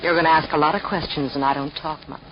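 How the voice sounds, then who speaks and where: Cool noir femme fatale, played by an actress, muffled. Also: female voice, low voice, fairly even intonation, quick pace, lightly acted. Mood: guarded, suggestive. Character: cool, guarded, flirtatious gangster's woman in noir mystery. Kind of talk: 1940s radio noir acting. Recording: muffled, very noisy old radio recording.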